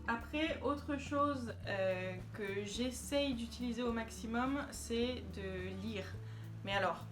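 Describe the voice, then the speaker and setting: A woman's voice: on the high side, slow. Chatty woman vlogger, music behind. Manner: chatty, hesitant, many fillers. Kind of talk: casual talk to camera.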